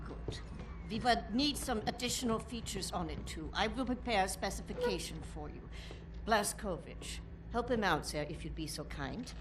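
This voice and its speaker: A woman's voice: medium pitch, expressive, noisy background, lightly acted. An actress playing a decisive female resistance planner. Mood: determined. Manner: brisk, decisive. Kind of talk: video game cutscene acting.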